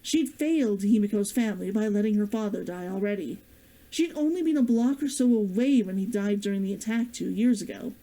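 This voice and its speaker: A woman author and streamer reading her novel aloud and chatting with viewers in a gentle, expressive way. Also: mid-range voice, a little fast, poor audio. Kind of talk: expressive storybook read-aloud and chat.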